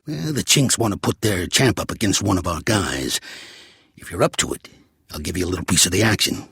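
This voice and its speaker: An actor playing a gruff, streetwise older mobster in a video game, with an American accent, a raspy voice and a blunt, slangy, commanding way of talking. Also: male voice, low voice, sing-song, a little fast, fairly bright tone, no room sound, studio quality, exaggerated voice. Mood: fatherly, steady. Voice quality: raspy, gravelly. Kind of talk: video game character acting.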